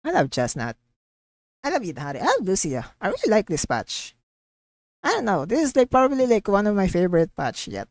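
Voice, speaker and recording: A woman streaming a video game, chatting and reading dialogue aloud in an excitable, gushing way. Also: medium pitch, brisk, no room sound, studio quality. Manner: gushing and excitable, exclaims and repeats herself. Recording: background game music. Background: game music.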